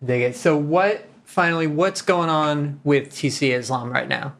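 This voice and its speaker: Measured male interviewer. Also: a little high, gravelly, fairly bright tone, slight background noise. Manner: measured, asks follow-up questions plainly. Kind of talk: interview questions.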